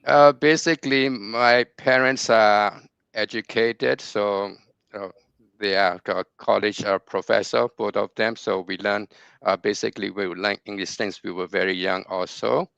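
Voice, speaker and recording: A man with a low, gravelly yet smooth voice answers interview questions. He speaks slowly with many pauses and fillers, heard over dull, lo-fi, dry call audio. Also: steady tone, a bit bright, dry recording. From Burma. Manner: slow, hesitant, many fillers.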